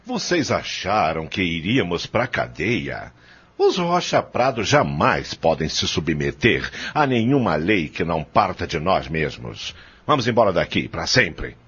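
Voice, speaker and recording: A man's voice: low voice, very animated, measured pace, fairly bright tone, slightly rough, dull audio, exaggerated voice. A man playing a vengeful, domineering criminal in an audio drama, speaking with contempt and command. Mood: cold defiance. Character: domineering, vengeful criminal eldest brother, farm heir.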